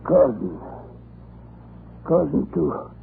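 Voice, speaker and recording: An actor playing a sullen old Russian prisoner guide in a radio drama, gravelly, terse and halting, with a brooding manner. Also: male voice, a little high, very animated, heavily acted. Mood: intense disbelief. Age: older. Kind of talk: 1940s radio drama acting. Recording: muffled, noisy old radio recording.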